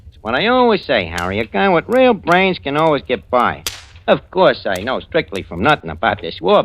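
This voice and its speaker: A man's voice: medium pitch, fairly bright tone. An actor playing a cocky, slangy small-time New crook, boastfully telling his tale in an old-time radio drama. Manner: wisecracking, boastful, hesitant with frequent uhs. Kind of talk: old-time radio drama acting, first-person narration. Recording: noisy vintage radio recording with clicks.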